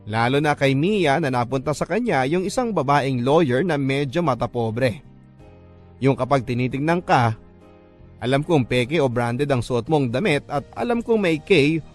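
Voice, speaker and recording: A man with a Filipino accent narrating a love story in a dramatic, scripted first-person radio storytelling style. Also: mid-range voice. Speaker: radio storyteller reading a listener's letter. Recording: music bed under the voice. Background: background music.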